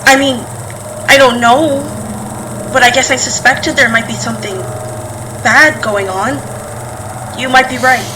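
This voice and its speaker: A woman's voice: high-pitched, steady tone, a little slow, pauses often. A woman playing a guarded, impatient daughter and sister in a naturalistic audio drama, with terse, prodding, conversational delivery. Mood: guarded, troubled. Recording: distant from mic, clear background noise.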